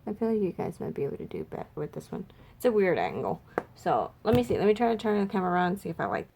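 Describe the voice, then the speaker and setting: Woman doing a makeup vlog, bit raspy n stuffed up from a cold, just chatting and thinking out loud. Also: lowish voice, very animated, racing, noisy background. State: slightly raspy, congested from a cold. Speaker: makeup vlogger. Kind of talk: casual makeup swatch review chat.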